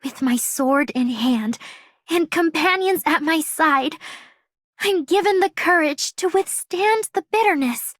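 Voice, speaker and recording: A woman voicing a gentle, resolute young swordswoman for a video game, solemn and earnest. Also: sits high, animated, a little slow, no echo, studio quality. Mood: reflective, resolute.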